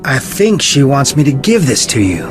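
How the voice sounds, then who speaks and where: An actor playing a guilt-laden, gentle young man in a video game, speaking earnestly and with regret. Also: medium pitch, very animated, moderate pace, music in the background, lightly acted. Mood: regretful confession.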